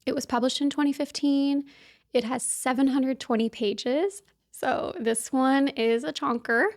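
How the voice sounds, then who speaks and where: A woman from talks straight to her viewers in a casual book vlog. Her voice sits a touch on the high side, and her manner is chatty and upbeat, full of little asides. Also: fairly even intonation, measured pace, a little mellow. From Kansas City, US. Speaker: book vlogger. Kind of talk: casual chat to camera.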